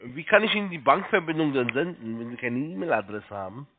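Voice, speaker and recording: A man working as a call-centre agent, giving halting step-by-step instructions, repeating himself and spelling things out. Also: mid-range voice, animated. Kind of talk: step-by-step phone sales instructions. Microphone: telephone. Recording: tinny narrow phone line.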